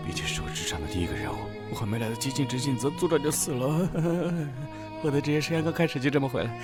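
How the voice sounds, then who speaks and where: A man playing a self-pitying, melodramatic rookie police officer in a comic animated drama, rambling and whining. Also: mid-range voice, fast, over loud music. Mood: mock mournful. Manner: rambling, whiny lamenting.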